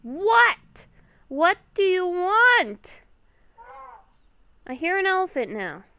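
Nervous young werewolf woman, acted by a female gamer, Minecraft roleplay; halting, repetitive speech, high register, very animated pitch. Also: no room sound, lo-fi sound, full character voice. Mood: exasperated, alarmed. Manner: halting, stammering, repeats herself. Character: nervous young woman werewolf, hearing ghostly voices at night.

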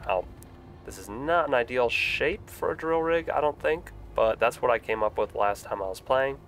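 A man's voice: mid-range voice, normal speed, faint music behind. A young man with a stuffy voice from a cold, chatting casually with lots of fillers while live-streaming a building game. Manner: relaxed, rambling, frequent fillers.

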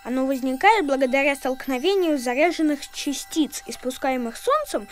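A woman voicing a bright know-it-all child who patiently explains and corrects in an educational cartoon. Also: high voice, animated, normal speed, soft background music. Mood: explaining.

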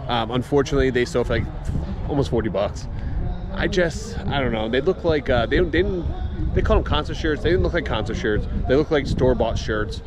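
A man's voice: mid-range voice, lively intonation, a little fast, crowd noise. A middle-aged man reselling collectibles, talking casually to camera at an auction house and thinking aloud as he goes. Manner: chatty, thinking aloud with restarts. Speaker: reseller vlogger. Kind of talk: casual running commentary.

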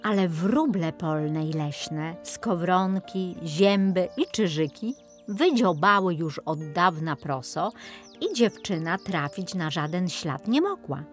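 A woman narrating a scripted children's fairy tale in a measured storytelling manner. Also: on the low side, animated, faint music behind. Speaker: audiobook narrator.

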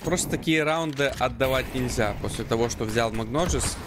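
A man's voice: mid-range voice, moderate pace, background noise. A man doing live esports commentary, analysing the play as he calls it.

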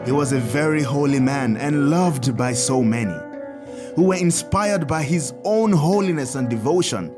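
A man as kindly priest, lively intonation, slightly high voice, measured teaching, audio drama, music underneath. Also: natural voice. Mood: earnest, explanatory. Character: kindly priest, Father Tad, teaching children about saints. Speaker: priest character telling saints' stories.

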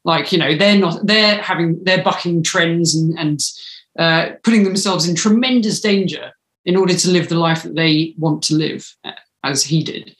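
A woman's voice: lowish voice, moderate pace, a bit bright. A woman, chatting as an interview guest on a video call. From United Kingdom. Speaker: interview guest, audio drama writer. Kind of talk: casual interview chat. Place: living room, indoors. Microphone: video call, computer mic at arm's length. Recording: echoey room.

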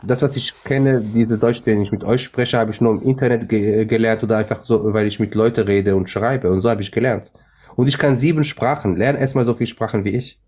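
A self-assured middle-aged man whose first language is Arabic talks over a phone mic. His voice sits a little low, and he keeps pressing his point and repeating himself. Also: steady tone, fairly quick, a little mellow. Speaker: livestream host. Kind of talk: live stream debate chat.

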